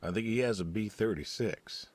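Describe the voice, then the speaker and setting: A man's voice: lowish voice, moderate pace, darkish tone, natural voice. A man playing an aging, wistful, plainspoken pig farmer, terse and folksy in naturalistic video game dialogue. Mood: matter-of-fact, dry.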